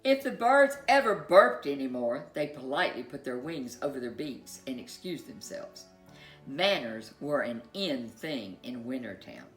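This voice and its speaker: A woman storyteller reading a children's tale in a playful, expressive way with drawn-out emphasis. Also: very deep voice, roomy sound, soft background music. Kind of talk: animated scripted children's story narration.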